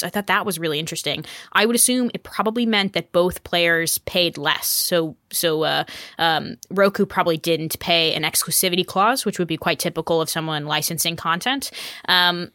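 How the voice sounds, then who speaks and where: A woman's voice: on the low side, a little fast, pushed and bright, no echo, clean studio sound. A woman giving conversational business commentary as a podcast panelist.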